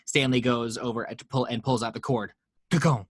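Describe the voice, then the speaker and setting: A man's voice: medium pitch, fairly even intonation, moderate pace, no echo. A man reading the action lines of a screenplay aloud at a home table read, steadily, voicing the sound effects. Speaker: narrator reading action description.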